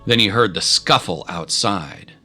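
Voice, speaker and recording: A man's voice: low voice, unhurried, bright, forceful tone. A man narrating a scripted adventure story in a dramatic style. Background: music.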